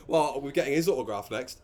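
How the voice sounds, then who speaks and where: A man's voice: mid-range voice, a little fast, darkish tone. A man co-hosting a casual film commentary with crude, irreverent, mocking banter. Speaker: podcast co-host.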